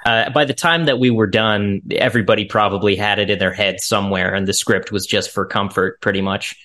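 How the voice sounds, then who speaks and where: A man's voice: lowish voice, fairly even intonation, brisk, sharp tone. A young man with a youthful voice, an actor talking casually in an interview. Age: young adult. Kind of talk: casual chat.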